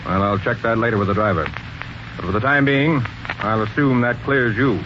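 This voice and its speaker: Man acting a brisk, commanding police detective, old radio. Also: mid-range voice, close to an everyday voice. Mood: probing, suspicious. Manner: businesslike, commanding, probing. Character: brisk, suspicious, no-nonsense police detective sergeant. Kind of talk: 1940s radio mystery acting. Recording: noisy dull 1940s radio recording.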